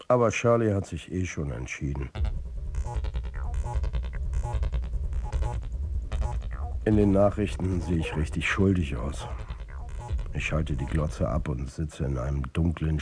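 An actor playing an embattled football coach who narrates his own downfall in a radio drama, tersely and bitterly, with a husky voice. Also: rumbling low, measured pace, darkish tone, soft background music. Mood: despondent. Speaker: first-person narrator.

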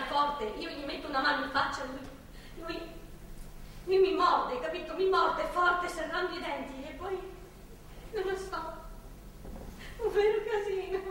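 In a very echoey, far-off theatre recording, a young captive woman speaks in a high voice. She is bitter and defiant, swearing and repeating herself in agitated, halting bursts. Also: a bit bright. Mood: shaken, bitter recounting. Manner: foul-mouthed, agitated, repetitive and halting. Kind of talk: theatrical stage drama acting. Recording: echoey, distant theatre recording, faint noise.

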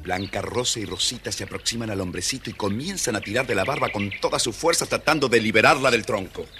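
A man's voice: lowish voice, quick pace, over music. A man narrating a scripted children's story as the storyteller. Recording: faintly noisy old storybook record.

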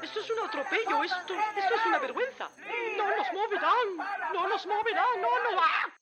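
An outraged little protester in a radio skit. The voice is extremely high and bright, dry and close, stammering and repeating itself in indignation. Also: female voice, normal speed, dry recording. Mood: indignant.

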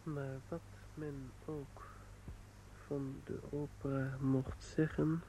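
An actor plays an earnest young student in a radio drama. His voice is very mellow and unhurried, with a polite, measured delivery. There is faint noise in the recording. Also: mid-range voice, level tone, natural voice, rough recording. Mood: wistful. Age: young adult.

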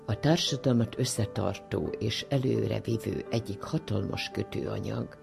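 A woman's voice: very deep voice, vocal fry, music in the background. An older woman speaking as an academic expert, explaining in an emphatic, didactic way. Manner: emphatic, didactic, repeats phrases for weight. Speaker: psychologist, university professor. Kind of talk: expert explanation in a radio interview.

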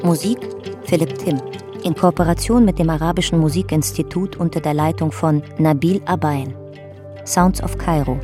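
A woman announcer reading scripted titles and credits for a radio drama in a measured, factual way. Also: sits low.

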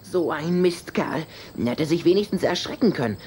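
A woman's voice: sits low, lively intonation, moderate pace, darkish tone, slight background noise. A woman playing a brusque, hot-tempered young vampire boy in a children's audio drama. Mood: outraged.

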